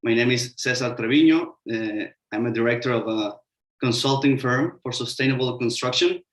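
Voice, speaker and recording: A man's voice: medium pitch, moderate pace. A man from Mexico with a Mexican accent, a Spanish speaker giving a hesitant, filler-heavy talk as a panelist in an online webinar. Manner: hesitant, many "uh" fillers, explanatory. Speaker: webinar panelist, sustainable construction consultant. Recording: echoey room.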